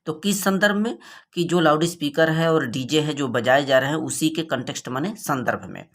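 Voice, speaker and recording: A man's voice: a little high, a bit bright. A man with a North Indian accent, a native Hindi speaker, giving an explanatory exam lesson as a teacher, didactic and working phrase by phrase. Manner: didactic, reads English slowly phrase by phrase, then explains. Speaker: English teacher for board exam students.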